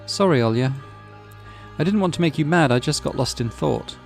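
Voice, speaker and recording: A man voicing a gentle, coaxing boy, the older brother in a visual novel. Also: fairly high pitch, lively intonation, moderate pace, creaky, faint music behind, pitched above the performer's own voice. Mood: apologetic. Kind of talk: visual novel character read-aloud.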